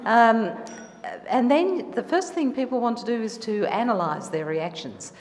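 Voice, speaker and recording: A woman's voice: on the high side, animated, unhurried. A woman opens a panel talk in a casual, wry way. Her voice rings with a long reverb through a gallery room. A faint noise sits underneath. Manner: chatty, wry, with fillers. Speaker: moderator of an artists' panel. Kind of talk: opening remarks at a gallery talk.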